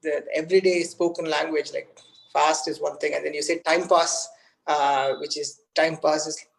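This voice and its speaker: A man from India whose first language is Tamil, speaking English with an Indian accent. He is a guest in a casual conversation, and he talks haltingly with lots of restarts and fillers. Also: a little high, flat delivery, gravelly, rough recording. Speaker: guest game developer.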